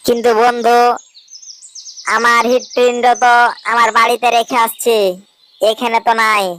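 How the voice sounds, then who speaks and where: A woman's voice: on the high side, monotone, normal speed, bright, forceful tone, slight background noise. A woman voicing a sly, cheerful jackal in a cartoon animal fable, chatty and teasing. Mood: cunning. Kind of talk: cartoon character voice acting.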